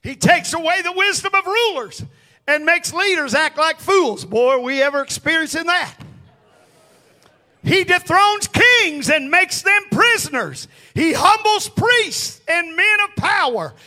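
A male preacher with a strikingly high, piercing voice. He preaches in unhurried, drawn-out phrases, pressing each point and calling on the congregation to respond. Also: animated, sharp tone. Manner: emphatic, calls on the congregation to respond. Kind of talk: sermon to a congregation.

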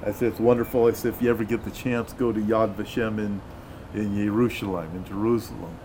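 A man's voice: lowish voice, fairly even intonation, fairly quick, a little mellow, slight background noise. An American man, a pastor conducting an interview, speaking earnestly and emphatically, often repeating his phrases.

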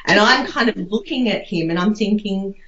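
A woman's voice: medium pitch, moderate pace, sharp tone. An Australian woman giving casual, reflective interview answers in a rambling, conversational way, with lots of "you know". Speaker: interview guest. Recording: echoey room, slightly dull sound.

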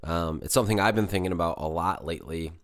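A man hosting a solo podcast, slightly hoarse from a cold, talking in a casual, rambling way with many fillers. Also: deep voice, fast talker, dry recording, studio quality. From Michigan. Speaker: podcast host.

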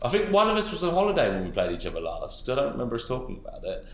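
A man co-hosting a podcast, chatting casually in a jokey, animated way. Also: medium pitch, rapid-fire, roomy sound. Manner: jokey, animated, laughs often. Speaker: podcast co-host. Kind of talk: casual chat.

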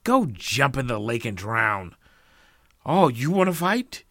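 A man voicing a furious, stubborn feuding parent who shouts scornful, emphatic insults and threats in a told story. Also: medium pitch, huge pitch swings, slow, no echo, studio quality, pitched above the performer's own voice. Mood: enraged.

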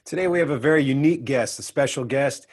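A man's voice: medium pitch, fast talker, slightly rough. A man co-hosting an interview show, introducing a guest and asking earnest questions with some restarts. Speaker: co-host. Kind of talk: podcast interview.

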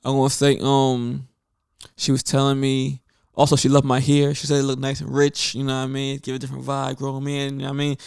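A man's voice: medium pitch, brisk, creaky, clean tone, no room sound. Chatty man rambling in a dry studio. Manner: rambling, animated storytelling with quoted voices. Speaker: podcast co-host. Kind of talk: casual podcast chat.

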